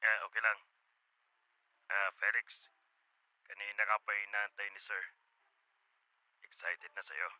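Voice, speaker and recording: A man's voice: on the low side, steady tone, measured pace, long pauses, pushed and bright, dry recording, poor audio. An actor playing a sly, chummy, teasing male accomplice in a Tagalog radio drama.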